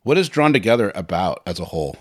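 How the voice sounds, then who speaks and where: A man's voice: deep voice, big pitch swings, fast talker, no echo, studio quality. A man hosting a casual interview, asking loose, enthusiastic questions with frequent restarts. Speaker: podcast host.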